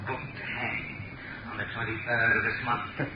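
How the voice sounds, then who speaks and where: Slow creepy echoey invisible avenger, mocking, old crackly radio play. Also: male voice, mid-range voice, level tone, lo-fi sound. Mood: taunting. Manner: slow, taunting, menacing. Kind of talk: 1930s radio acting. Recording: scratchy 1930s radio recording.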